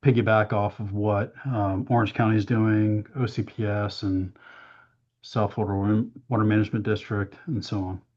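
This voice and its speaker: A man presenting a town budget at a council workshop, hoarse from losing his voice, with a hesitant manner full of "um"s. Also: lowish voice, little pitch movement, moderate pace, a little mellow. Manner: hesitant, many "um"s, explains plainly. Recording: dull, muffled meeting audio.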